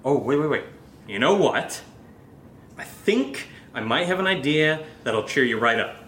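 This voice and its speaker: A man plays a brash, breezy young roommate in an audio drama. His voice is bright and forceful, very animated in pitch, with a cajoling, sweary manner. The room sounds noticeably echoey. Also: unhurried, slight background noise. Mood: excited, cajoling. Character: brash, upbeat young roommate who loves drinking. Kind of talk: naturalistic audio drama dialogue.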